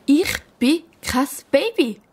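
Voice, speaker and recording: A woman playing a sick, stubborn and cheeky schoolgirl in a children's radio play, with a husky voice and a Swiss accent. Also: sits high, lively intonation, takes it slowly. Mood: sulky.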